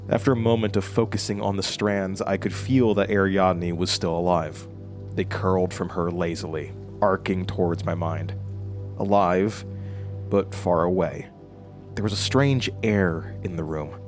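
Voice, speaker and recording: A man playing a haunted, brooding protagonist who narrates his traumatic past haltingly in an audio drama. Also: low-pitched, fairly even intonation, soft background music. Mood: tense, eerie. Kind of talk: scripted first-person audio drama narration.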